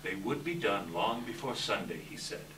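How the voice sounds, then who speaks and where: A man narrating a scripted story dramatically, with measured, expressive delivery. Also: fairly low pitch. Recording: distant echoey hall, clear background noise.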